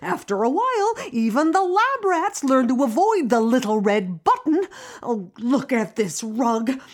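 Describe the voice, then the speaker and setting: A woman acts out a critical, loving middle-aged mother in a radio play. She nags with drawn-out emphasis in a highish, swooping voice, fussing over every detail. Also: very animated. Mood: exasperated. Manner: nagging, emphatic, drawn-out enumerating. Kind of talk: audio drama acting.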